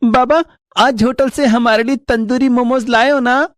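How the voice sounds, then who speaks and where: A woman's voice: fairly high pitch, fairly even intonation, unhurried, dry recording, studio quality, close to an everyday voice. A woman voicing an eager little boy. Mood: pleading. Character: eager, food-loving little boy, younger brother.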